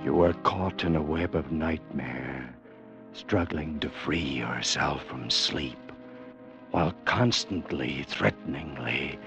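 A man announcing a vintage radio drama in an ominous, deliberate, dramatic manner. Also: extremely low pitch, creaky. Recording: dull vintage radio recording. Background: dramatic music.

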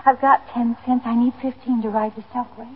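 A woman's voice: a little high, little pitch movement, brisk, breathy edge. An actress playing an anxious, embarrassed but proud woman, hesitant and pleading, in a 1950s radio drama. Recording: tinny, noisy 1950s radio broadcast.

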